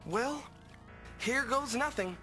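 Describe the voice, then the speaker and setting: A polite, resolute young video game hero. The voice is high and animated, with an earnest formal delivery over music. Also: female voice, slow, full character voice. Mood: defiant. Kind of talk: video game cutscene acting.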